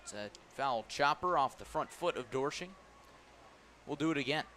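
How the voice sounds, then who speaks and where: A man's voice: medium pitch, lively intonation, normal speed, bright, forceful tone. Man calling live baseball over ballpark noise. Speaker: play-by-play radio announcer. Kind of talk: live baseball radio commentary.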